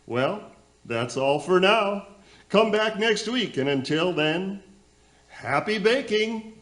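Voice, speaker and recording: A man acts out a flustered radio show host in a comic radio play. His voice sits a little high for a man. He talks in a folksy, hesitant way, trying to gloss over trouble. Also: expressive, takes it slowly, fairly bright tone, exaggerated voice. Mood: forced cheer.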